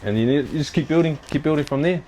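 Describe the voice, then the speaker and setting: A man's voice: a little high, fast, mellow, slight background noise. Laid-back young New man. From New Zealand. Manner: laid-back, slangy, many fillers. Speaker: clothing brand owner and athlete. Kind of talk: casual interview chat. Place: his apartment.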